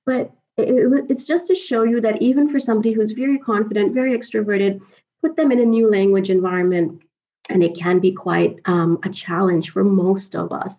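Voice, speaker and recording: A woman in her forties, a native Urdu speaker, talks in an easy, animated way and laughs often between her stories. Her voice sits at a middle pitch. The recording is a little dull and muffled. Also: fairly quick. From Pakistan. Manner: lively storyteller, frequent laughs and fillers. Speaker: interview guest. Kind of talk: conversational interview. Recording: slightly dull lo-fi audio.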